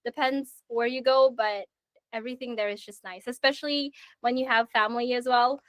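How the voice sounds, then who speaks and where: Woman from Italy, high voice, laughs between phrases, dry sound. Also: fairly even intonation, brisk, fairly bright tone, no echo. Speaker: panel guest. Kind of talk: casual chat.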